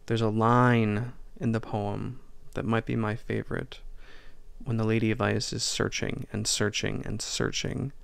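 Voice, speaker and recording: A man delivering a reflective, scripted podcast monologue as host, in a measured, earnest manner with deliberate pauses. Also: lowish voice, gravelly, clear, resonant tone, dry recording. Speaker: podcast host and audio drama writer.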